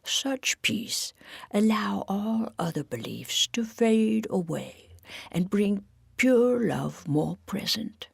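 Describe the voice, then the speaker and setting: A woman voicing a serene, reverent female animal sage in a storybook, speaking slowly and meditatively. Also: mid-range voice, lively intonation, vocal fry, slightly rough, dry recording, clean studio sound, pitched above the performer's own voice, full character voice.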